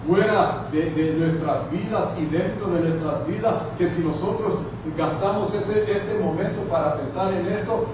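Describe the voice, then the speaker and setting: A male pastor preaching a sermon, addressing the congregation directly and often. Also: sits high. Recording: echoey, distant, dull, noisy hall recording.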